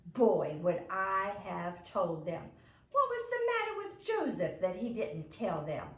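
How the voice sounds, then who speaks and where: A woman voicing a brash, blunt, easily outraged young girl in a storybook, grumbling loudly and indignantly. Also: mid-range voice, wildly swooping pitch, slow pace, roomy sound, exaggerated voice.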